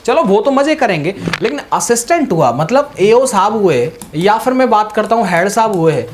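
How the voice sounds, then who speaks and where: A man with a high-pitched voice gives informal, explanatory advice to students in a conversational way, often checking that they follow. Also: sing-song, moderate pace. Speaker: exam guidance presenter. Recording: clear background ambient noise.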